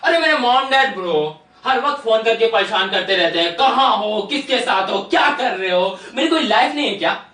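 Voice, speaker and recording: A man's voice: high voice, animated, normal speed, bright, forceful tone, reverberant, far from the mic. A young man acting a brash, impatient youth in casual Hindi drama dialogue. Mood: sulky. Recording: faint music behind. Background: music.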